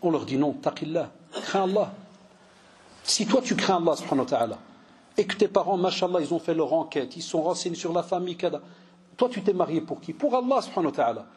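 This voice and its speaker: A man in his forties from Belgium, an imam giving a religious lecture, telling lively stories and mixing in Arabic phrases. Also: on the high side, a little fast. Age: 40s. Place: mosque cultural center hall.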